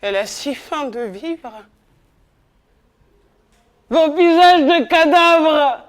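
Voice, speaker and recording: A woman performing a poetic theatre monologue on stage, incantatory, moving between whispers and loud declamation. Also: high voice, animated, very slow.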